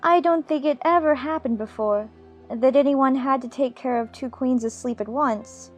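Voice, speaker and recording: A woman's voice: sits high, normal speed, soft background music. A woman voicing a young storybook girl, earnest and fussy, easily puzzled and impatient. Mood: perplexed. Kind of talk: dramatized audiobook character acting.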